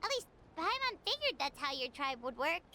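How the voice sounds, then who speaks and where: Squeaky, very high childlike fairy girl. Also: very animated, moderate pace, sharp tone, full character voice. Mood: chirpy. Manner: refers to self in third person, exclamatory. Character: tiny childlike floating girl fairy companion, greedy and chirpy.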